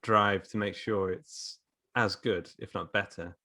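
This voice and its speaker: A man's voice: on the low side, fairly even intonation, a little slow, bright, forceful tone. A man who teaches writing, speaking casually as a guest in a podcast conversation.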